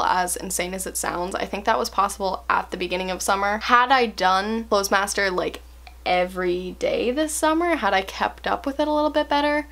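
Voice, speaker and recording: A young adult woman talking casually to camera as a language-learning vlogger, chatty and candid, with fillers and soft laughs. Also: mid-range voice.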